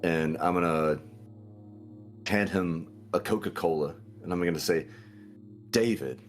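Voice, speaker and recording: A man's voice: low-pitched, fairly even intonation, measured pace, faint music behind. A man playing a tabletop game, casually narrating what his character does.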